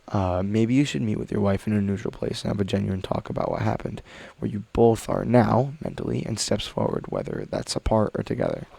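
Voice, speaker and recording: A man's voice: lowish voice, vocal fry, a little mellow, no room sound. A man reading online stories aloud and chatting about them in a rambling, hesitant way with many fillers, while a little sick. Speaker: reddit story reader. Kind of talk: casual reading with commentary.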